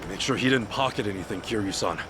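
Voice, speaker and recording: An actor playing an earnest, hot-headed, good-hearted middle-aged hero in an English-dubbed video game, expressive and informal. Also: male voice, fairly low pitch, fast talker, background noise, close to an everyday voice. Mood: determined. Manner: expressive, informal, exclaiming, swearing.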